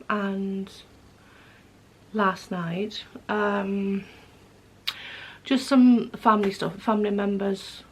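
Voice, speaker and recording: A woman vlogging about knitting and sewing at home, her voice hoarse and croaky from a cold, rambling with lots of ums and self-corrections. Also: mid-range voice. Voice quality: croaky, husky. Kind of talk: casual vlog chat. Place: home living room with wood stove.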